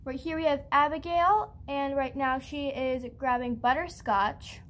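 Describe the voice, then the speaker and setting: A young woman giving a casual, chatty show-and-tell tour of her toy barn setup with a handheld phone camera, pausing on fillers. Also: sits high, a bit bright. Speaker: channel host.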